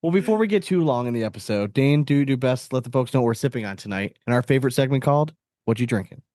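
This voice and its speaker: A man's voice: medium pitch, animated, fast talker, mellow, no echo, clean studio sound. An American man hosting a podcast in casual, slangy chat, talking to the others like buddies. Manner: slangy, buddy-like. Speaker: podcast host.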